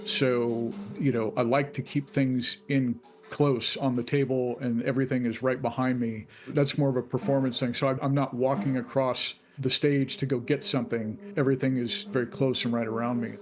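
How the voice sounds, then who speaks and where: A man's voice: medium pitch, little pitch movement, moderate pace, over music. A man working as a sound effects designer, talking casually in an interview.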